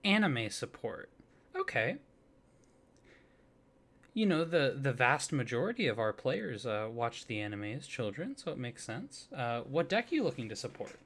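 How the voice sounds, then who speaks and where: A man speaking softly for an ASMR roleplay, as a courteous office clerk. His voice sits at a middle pitch but moves up and down a lot, giving it a lilting, animated feel. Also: normal speed. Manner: soft-spoken, polite customer-service patter.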